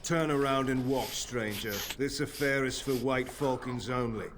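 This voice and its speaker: An actor voicing a gruff, swaggering male mercenary in a video game. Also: mid-range voice, moderate pace, noisy background, natural voice. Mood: menacing, mocking.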